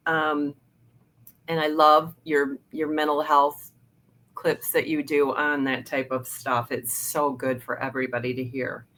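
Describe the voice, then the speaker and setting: A woman's voice: deep voice, flat delivery, a little slow, a bit bright, a little hiss or hum. A middle-aged woman hosting an interview in a casual, chatty way, often restarting her sentences with ums. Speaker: podcast host.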